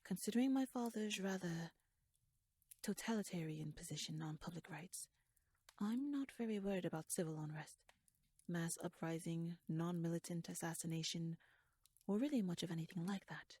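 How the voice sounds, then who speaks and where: A woman's voice: fairly low pitch, moderate pace, warm tone, husky, no room sound. A woman playing a shrewd, talkative royal schemer in an ASMR audio roleplay, speaking in wordy, formal, playfully wry musings addressed to the listener. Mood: musing, confiding.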